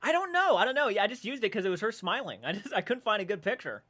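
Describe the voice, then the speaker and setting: A man in his twenties hosting a casual livestream, reading viewer comments aloud and reacting with animated, swearing banter. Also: high-pitched, fast talker. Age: 20s. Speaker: livestream host. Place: dark room at home.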